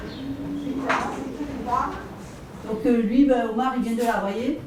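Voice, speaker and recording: A woman's voice: high-pitched, steady tone, measured pace, far from the mic. A woman teacher guiding an informal group discussion, prompting people and confirming what they say. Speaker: language class teacher leading a group discussion. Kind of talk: informal guided class discussion. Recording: echoey room, off mic, faint noise.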